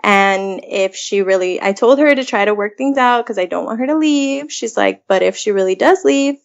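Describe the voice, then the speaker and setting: A woman's voice: mid-range voice, normal speed, vocal fry, muffled recording. A young woman co-hosting a podcast in an informal, conversational way, chatting casually. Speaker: podcast co-host. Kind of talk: casual chat.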